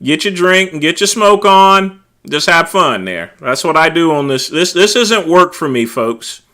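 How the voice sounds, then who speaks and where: A middle-aged man hosting a casual late-night livestream, talking loudly and easygoing, chatting with his viewers. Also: fairly high pitch, expressive, measured pace. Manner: loud, laid-back, drawls filler words like "there". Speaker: livestream host.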